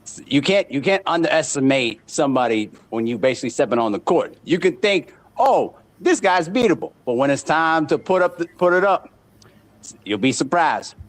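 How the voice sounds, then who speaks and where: A man in his thirties with a lively, up-and-down voice, a little higher than most. He banters in a casual, boastful way and repeats himself as he talks trash. He speaks over a remote call. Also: moderate pace. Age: 30s.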